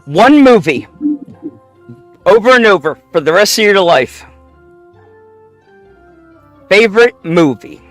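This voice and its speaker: A man hosting a show, running a playful quick-fire question game with a guest, joking and laughing often. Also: sits high, sing-song, fairly bright tone, no echo, faint music behind. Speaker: show host.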